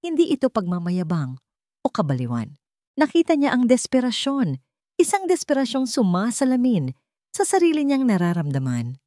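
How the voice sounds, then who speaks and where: A woman narrating a scripted dramatic love story in a theatrical, deliberately phrased way. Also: fairly low pitch, warm tone, no room sound, clean studio sound.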